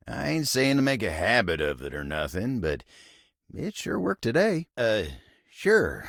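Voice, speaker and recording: A man voicing a folksy, dependable living table in a video game, with an American Southern accent, a gravelly voice and a courteous, plain-spoken drawl. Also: lowish voice, fairly bright tone, no room sound, studio quality, heavily acted. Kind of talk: video game character acting.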